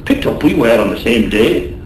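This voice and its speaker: An actor playing a rough, loyal Irish sailor in a radio drama, blustering yet tender, with an Irish accent. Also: male voice, mid-range voice, very animated, moderate pace, recorded from a distance, natural voice. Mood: grim storytelling. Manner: blustery, colloquial. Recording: roomy 1980s radio drama, faint noise.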